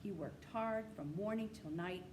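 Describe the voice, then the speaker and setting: A woman reads a children's novel aloud in a measured, descriptive storytelling manner. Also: medium pitch. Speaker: storybook reader. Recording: clearly noisy amateur recording.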